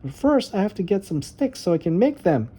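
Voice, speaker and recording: An earnest little girl from a storybook, acted out by a man, determined to sound brave. The voice sits well above a man's usual pitch, with a soft, mellow tone. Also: very animated, fairly quick, breathy edge, exaggerated voice. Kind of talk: children's storybook read-aloud.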